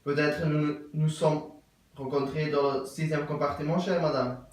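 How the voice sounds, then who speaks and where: A man playing a stiff, courteous middle-aged English gentleman in an absurd stage play, with formal, ceremonious and repetitive speech. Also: on the high side, flat delivery, unhurried, natural voice. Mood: ceremoniously curious. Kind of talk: theatre acting of an absurd play. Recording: very echoey room, voice from far off.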